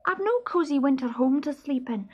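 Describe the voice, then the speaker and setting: A woman puts on a small young male stoat from a storybook, high-pitched and anxious, his voice swooping up and down as he frets. The sound is slightly dull and lo-fi. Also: expressive, moderate pace. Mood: worried. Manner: anxious, fretful, exclaiming.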